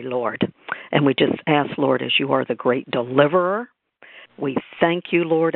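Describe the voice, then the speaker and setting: Gravelly man praying over a phone line. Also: mid-range voice, no echo. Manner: reverent, repetitive, quoting scripture. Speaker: prayer leader on a group call. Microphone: telephone on a conference call. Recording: narrow tinny phone line.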